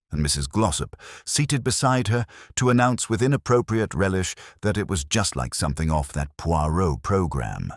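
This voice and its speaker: A man narrating a literary mystery story in a measured, formal way. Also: extremely low pitch, no echo, studio quality.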